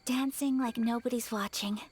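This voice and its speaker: A woman voicing a gentle, graceful young noblewoman in a video game, soft-spoken and breathy. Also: a little high, steady tone, normal speed. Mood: tender. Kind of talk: game voice acting.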